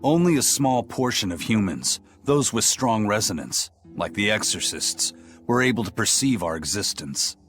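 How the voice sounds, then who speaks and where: A man playing a gruff, worldly male spirit pirate in a video game, terse and matter-of-fact. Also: on the low side, expressive, moderate pace, a bit bright, faint music behind. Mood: grave. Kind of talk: video game cutscene acting.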